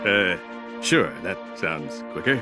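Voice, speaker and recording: An actor voicing a vain, goofy, self-important space hero in a hammy video game style. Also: male voice, low voice, expressive, takes it slowly, over loud music. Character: boastful, goofy, vain male space-hero sidekick schemer.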